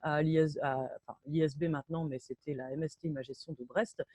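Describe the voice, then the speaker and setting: A French woman in her thirties giving an informal technical talk in an online meeting, often halting and correcting herself. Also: sits low, steady tone, a little mellow, husky, no room sound. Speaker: sound engineer and lecturer. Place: indoors on a video call.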